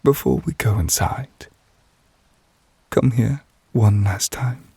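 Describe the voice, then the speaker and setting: A man playing a devoted, possessive yandere witch in an intimate ASMR roleplay, speaking softly and breathily, often near a whisper. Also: lowish voice, animated, husky, no room sound, full character voice. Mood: tender. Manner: slow, tender, murmured endearments.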